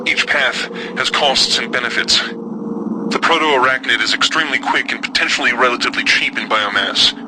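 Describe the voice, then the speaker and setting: An actor playing a reflective captive scientist, speaking in a measured, articulate way in a game audio log. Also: male voice, medium pitch, noisy background, natural voice. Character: reflective captive scientist studying alien creatures.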